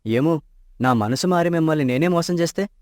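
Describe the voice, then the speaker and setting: A man's voice: fairly high pitch, fairly quick, no room sound, clean studio sound, natural voice. An actor playing an honest, poor young man in love in a storybook tale, earnest and troubled.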